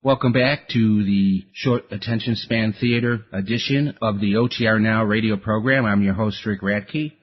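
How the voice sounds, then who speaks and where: A man's voice: fairly low pitch, moderate pace, few pauses, breathy edge. A man hosting a radio program, reading scripted introductions. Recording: slightly muffled audio.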